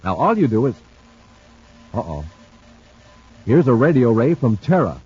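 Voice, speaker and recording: A male radio announcer reading ads and promos in a booming, salesy, emphatic 1950s style. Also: big pitch swings, unhurried, darkish tone, slightly rough, faint music behind. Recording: muffled old radio transcription with faint hiss.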